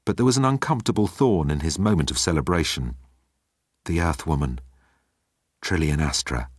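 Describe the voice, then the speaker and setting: A man narrates a comic story in a deep voice. The sound is close and dry, as in an audiobook, with a scripted storytelling delivery. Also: animated, normal speed, husky, no room sound.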